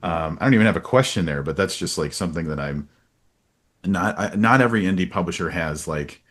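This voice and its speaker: A man's voice: low-pitched, lively intonation, fairly quick, fairly bright tone. A man hosting a casual book-talk interview, speaking in an earnest, rambling and emphatic way. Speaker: podcast host interviewing a publisher.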